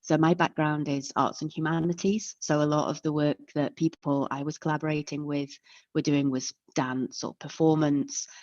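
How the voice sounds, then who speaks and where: A woman with a low, deep voice gives an online lecture and explains each point step by step in a friendly way. Her sound is dull and lo-fi, like video call audio. It is close and dry, with no room sound. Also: level tone, normal speed, a little mellow, no room sound. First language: English. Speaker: webinar presenter.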